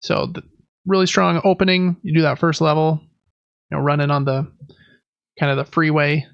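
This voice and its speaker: A man's voice: a little high, little pitch movement, moderate pace, creaky, clean tone, no echo, lo-fi sound. A man hosting a podcast in casual chat, rambling a little and correcting himself as he goes. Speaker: podcast host. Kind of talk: casual chat about video and board games.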